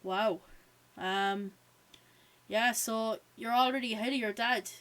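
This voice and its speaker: A woman's voice: a little high, fairly even intonation, slow pace, a bit bright. A woman with an Irish accent hosting a live watch-along stream. She chats casually, reads viewer messages aloud and leans on frequent "um" and "yeah" fillers. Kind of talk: live watch-along chat and match reactions.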